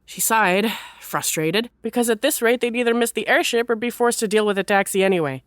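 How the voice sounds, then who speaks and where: A woman's voice: on the high side, fairly quick, no room sound. Woman narrating a story, very dry recording. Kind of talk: scripted third-person story narration.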